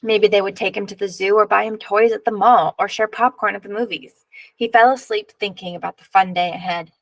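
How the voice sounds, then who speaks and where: Creaky-voiced woman reading a picture book. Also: medium pitch, steady tone, fairly quick, no room sound, low-quality recording. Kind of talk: expressive read-aloud narration.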